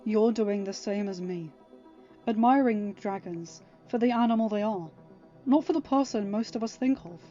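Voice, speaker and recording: A woman voicing an earnest, protective young king, speaking gently but emphatically to reassure someone in a story. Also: mid-range voice, moderate pace, highs cut off, soft background music, lightly acted. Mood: earnest, persuasive.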